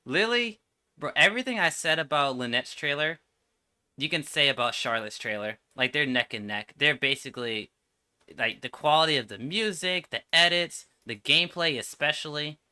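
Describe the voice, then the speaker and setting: Bright-voiced man streaming casual reactions. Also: fairly high pitch, animated, moderate pace, smooth. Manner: informal, slangy, enthusiastic. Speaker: streamer reacting to game trailers. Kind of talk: casual livestream commentary.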